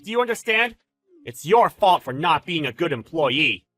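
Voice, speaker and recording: A man's voice: on the high side, very animated, normal speed, pushed and bright, no echo, faint music behind. A man playing a smug, bullying boss in a dramatized story, with a taunting, slangy, exclamatory delivery. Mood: scolding, contemptuous. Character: smug bullying male boss, gloating and contemptuous. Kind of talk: dramatized story narration with character voices.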